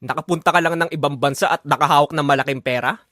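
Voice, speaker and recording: A man's voice: a little high, fairly even intonation, quick pace, sharp tone. A man playing a bitter, resentful estranged husband in a melodramatic audio drama. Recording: voice over dramatic music.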